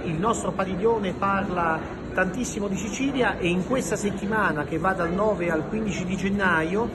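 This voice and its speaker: A man in his fifties from Italy speaks in a fairly high voice, delivering a formal official statement to camera. His delivery is measured and repeats phrases for emphasis. Clear ambient noise from a busy exhibition hall surrounds him. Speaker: regional government representative. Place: large indoor exhibition pavilion.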